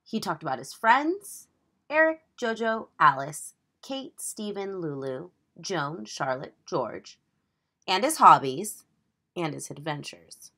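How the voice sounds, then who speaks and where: A woman teacher reading a children's picture book aloud in an expressive, emphatic, instructive storytelling manner. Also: fairly low pitch, takes it slowly.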